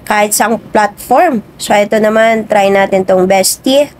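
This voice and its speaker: A woman's voice: mid-range voice, a little slow, darkish tone, slight background noise. A woman hosting a casual how-to tutorial. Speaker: video tutorial host. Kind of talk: casual spoken app tutorial.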